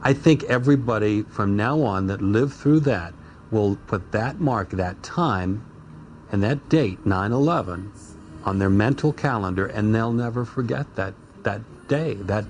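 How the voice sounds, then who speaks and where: Solemn, lowish-voiced man reflecting. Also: unhurried, slight background noise. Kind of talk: reflective interview remarks.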